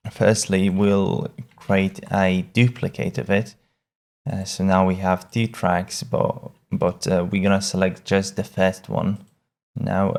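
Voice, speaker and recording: A man with a low, gravelly voice walks through a software tutorial. His delivery is casual and halting, with words repeated as he goes. He takes his time over each step. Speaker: tutorial presenter.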